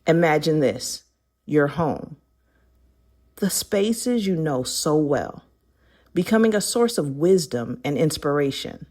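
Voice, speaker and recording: A woman's voice: low-pitched, animated, slow, darkish tone. A woman narrating a scripted, inspirational book foreword in an audiobook style.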